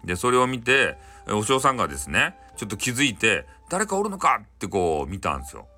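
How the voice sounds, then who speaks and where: A man tells a bedtime folktale in Hakata dialect. His voice is fairly low yet bright and very animated in pitch. He is folksy and conversational, phrasing haltingly over soft music. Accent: Hakata, Japan. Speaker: storyteller. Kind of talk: informal bedtime folktale storytelling.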